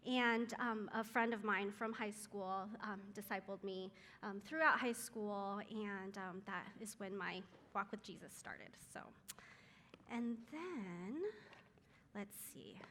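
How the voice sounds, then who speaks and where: Bright-voiced woman speaking in a reverberant room. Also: medium pitch, slow, slight background noise. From Taiwan or China, raised in Seattle area. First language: Chinese. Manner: hesitant, many ums, soft-spoken and earnest. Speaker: guest speaker at a church moms group. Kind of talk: casual talk to a group. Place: church meeting room.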